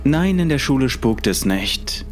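A man's voice: mid-range voice, sing-song, a little slow. A man narrating a scripted first-person horror story. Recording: music under the voice. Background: music.

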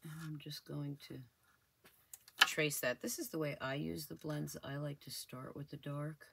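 A woman's voice: low voice, little pitch movement, a little slow, gravelly, fairly bright tone, slight background noise. An older woman hosting a crafting channel, chatting and thinking aloud as she works at her craft desk. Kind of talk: casual crafting tutorial voice-over.

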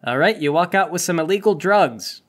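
A man's voice: high-pitched, lively intonation, moderate pace. A man acting as game master, mixing casual banter with narration for the players.

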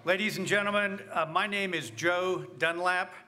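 A middle-aged American man giving a formal, measured welcome address at a ceremony as college president. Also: sits high, steady tone, pushed and bright. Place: large gymnasium, on stage. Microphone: podium microphone.

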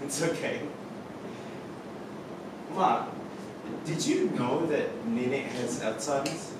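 A man's voice: medium pitch, lively intonation, slow pace. An actor playing Adam, a young man teasing and worrying about his mother, in a staged reading on a theatre stage. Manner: wry, teasing, then alarmed and shouting. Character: young man Adam, anxious, joking son. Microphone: far camera, no visible mic. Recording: echoey hall from far off, noisy.

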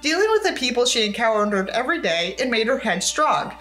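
A woman's voice: a little high, sing-song, a little fast, a bit bright, soft background music. A young adult woman reading a book aloud dramatically and breaking in with sarcastic, exasperated asides. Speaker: video creator reading a book aloud with commentary.

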